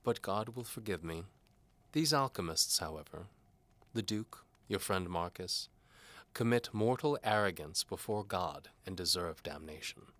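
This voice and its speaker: An older bishop of the Middle Ages played by a man preaches with haughty conviction. His delivery is forceful and lifted in pitch, didactic and commanding, as in an audio drama. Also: expressive, measured pace, no room sound. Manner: didactic, pompous. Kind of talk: audio drama character acting.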